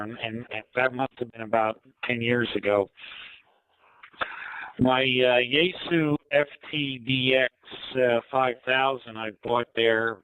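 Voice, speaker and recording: An older American man in easygoing, hesitant casual chat, pausing often, heard through a digital ham radio link. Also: medium pitch, fairly bright tone, clean tone, dry recording, low-quality recording. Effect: digital ham radio voice codec. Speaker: amateur radio operator. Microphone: two-way radio microphone.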